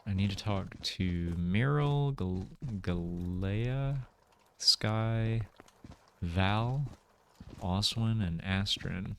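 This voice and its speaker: Slow, low-voiced man musing over games. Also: expressive, fairly bright tone, slight background noise. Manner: hesitant, musing, thinking aloud. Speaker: video game player and commentator. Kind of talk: casual gameplay commentary. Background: music.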